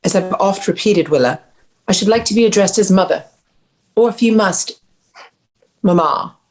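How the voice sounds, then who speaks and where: A woman's voice: mid-range voice, normal speed, a little mellow, slight background noise, natural voice. A woman playing a grandiose, genteel mother and faded stage actress at a screenplay table read, with affected, formal, precise diction. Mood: haughty.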